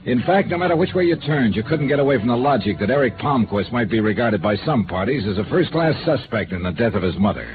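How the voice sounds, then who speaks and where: A cool, wry American investigator acted by a man in a 1950s radio noir. He speaks in terse, clipped phrases at a middling pitch. The sound is a tinny old broadcast with clear music behind. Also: lively intonation, fast, few pauses, lightly acted. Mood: wry, brooding. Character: hard-boiled male freelance insurance investigator, wry and cool. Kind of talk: 1950s radio noir first-person narration and dialogue.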